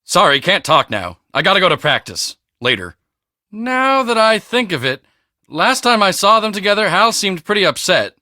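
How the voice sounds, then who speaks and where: A man playing a guarded teenage high school football player in scripted video game lines. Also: sing-song, normal speed, bright, forceful tone, no echo, clean studio sound, exaggerated voice. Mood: casual, curt.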